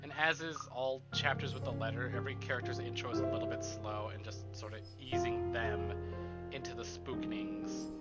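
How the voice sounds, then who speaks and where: A man's voice: mid-range voice, fairly even intonation, normal speed, loud music behind the voice. A man livestreaming a game, chatting casually in slang and swearing freely. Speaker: game streamer and host.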